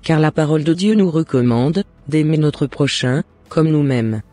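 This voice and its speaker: A man's voice: on the high side, normal speed, warm tone, no echo, faint music behind, lightly acted. A man playing a loving, devout mother in a cartoon, giving earnest and encouraging advice in formal words. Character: devout, loving mother advising her son. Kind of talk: scripted cartoon dialogue.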